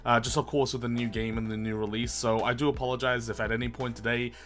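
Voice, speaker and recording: A young man hosting a gaming video in a casual, chatty way, his voice slightly croaky and strained, and he bursts into loud excited shouts. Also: medium pitch, fast talker. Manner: chatty, calls viewers boys, erupts into loud excited shouts.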